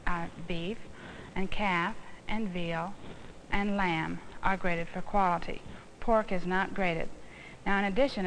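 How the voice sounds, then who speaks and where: American lady doing an old instructional film, teachy and deliberate, mid pitch, bit of hiss and noise. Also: poor audio. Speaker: instructional film presenter, home economist. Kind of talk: instructional demonstration to camera.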